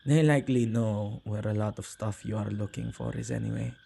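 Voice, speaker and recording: A man playing an earnest, tender male witch who protects ghosts, speaking softly and intimately in an ASMR roleplay. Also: lowish voice, fairly even intonation, brisk, vocal fry, slight background noise. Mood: tender, calm. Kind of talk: ASMR boyfriend roleplay acting.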